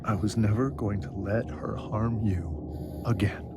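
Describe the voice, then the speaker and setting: A grave, protective father in his middle years for a story-driven video game. The voice sits fairly low and crackles with gravel. He weighs each phrase with stern deliberation, as if guarding a painful past. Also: measured pace. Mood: grave, pained. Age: middle-aged. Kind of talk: dramatic video game acting.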